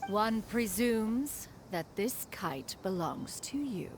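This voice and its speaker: A woman's voice: medium pitch, animated, slow, background noise. A woman playing a haughty, easily offended immortal in a video game, speaking in pompous, archaic and very proper phrases. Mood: coldly accusing. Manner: pompous, archaic, refers to herself as one. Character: haughty, proper, indignant female immortal adeptus. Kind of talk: video game cutscene acting.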